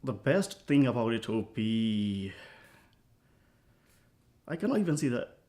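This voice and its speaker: A man from Myanmar, a poet giving thoughtful, halting interview answers with many pauses and restarts. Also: mid-range voice, big pitch swings, warm tone. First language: Burmese.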